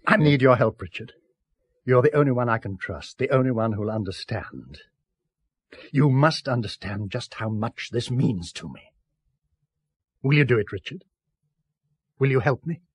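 An assured, secretive British scientist in a seventies radio play. His voice is slightly husky and very animated, with articulate, earnest, insistent delivery. The recording is dry and close. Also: mid-range voice, moderate pace, long pauses, no echo. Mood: earnest, persuasive. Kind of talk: 1970s British radio drama acting.

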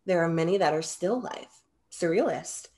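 Young woman as frank muse, composed, formal diction, slightly low voice, staged reading over video call. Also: normal speed, mellow, natural voice. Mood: firm, measured. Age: young adult. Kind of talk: virtual staged play reading. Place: small room at home, video call.

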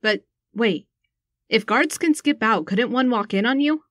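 A woman's voice: on the high side, a little slow, a bit bright, no room sound. Woman playing a flippant young space ruler, dry sound. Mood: eager, joking. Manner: sarcastic, slangy, breezy. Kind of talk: science fiction audio drama acting.

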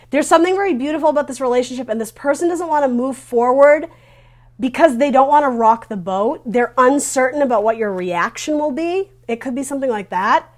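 Woman in her thirties, slightly high voice, conversational tarot reading, informal, reassuring, thinking out loud. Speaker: tarot reader hosting a video reading. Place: small decorated room indoors. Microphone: lavalier clipped to T-shirt.

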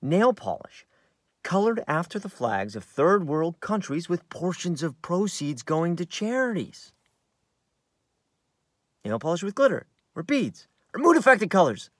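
An American actor in his thirties playing a bitter, wounded screenwriter in a theatrical, halting dramatic monologue. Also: high voice, very animated, no echo, studio quality, full character voice. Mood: exasperated. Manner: theatrical, halting, shifting from hushed to outbursts. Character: bitter, wounded screenwriter brooding over his twin brother. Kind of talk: dramatic monologue in a staged reading, on book. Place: inside a parked car.

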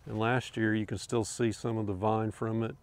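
A man's voice: on the low side, flat delivery, moderate pace, no echo. An older man in his garden outdoors, rambling easily with lots of fillers as he films a walkthrough from behind a handheld camera. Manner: easygoing, rambling, with many fillers and chuckles. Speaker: homesteader showing his garden. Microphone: handheld camera, speaking from behind it.

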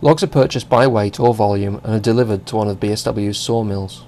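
A man reading a scripted narration for a company video in an even, measured way. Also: lowish voice, slight background noise.

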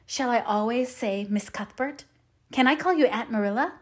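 Woman doing a wistful chatty orphan girl for a storybook, high voice, very earnest and dramatic. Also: moderate pace, natural voice. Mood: wistful, pleading. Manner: effusive, earnest, dramatic phrasing. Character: eager, imploring, dreamy orphan girl, wistful and talkative. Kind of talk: audiobook character voice.

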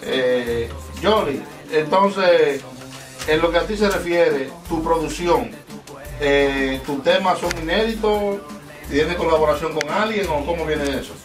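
A man's voice: fairly high pitch, a little slow, faint music behind. A man co-hosting a casual radio interview, joking and summing up what the guests say. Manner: joking, sums up guests' answers. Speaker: radio co-host. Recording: echoey room, mic far off.

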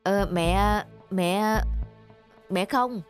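A woman's voice: medium pitch, drawn-out words. Woman voicing a fretful ambitious mother. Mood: flustered. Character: anxious, ambitious mother of a ballet girl. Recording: music playing underneath.

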